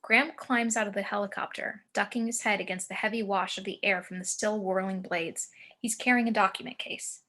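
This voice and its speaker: A woman narrates a screenplay's action and scene headings. Her voice is very bright and forward, at an average pitch for a woman. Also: steady tone, fairly quick. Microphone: home video call.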